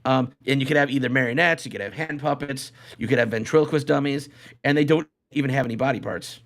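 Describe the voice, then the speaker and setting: A man hosts a live podcast in a loose, conversational style. His voice sits at a middling pitch, and he rambles, often circling back to repeat his phrases. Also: fast, gravelly, fairly bright tone. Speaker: podcast host. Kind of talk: casual live podcast chat.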